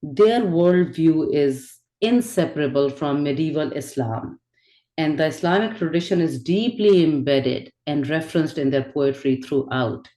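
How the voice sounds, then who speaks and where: A woman whose first language is Urdu gives an earnest, reflective online lecture, pausing on fillers and restarting her sentences. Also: sits low, mellow, echoey room, low-quality recording. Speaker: teacher of an online spirituality class. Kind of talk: informal lecture. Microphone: video call.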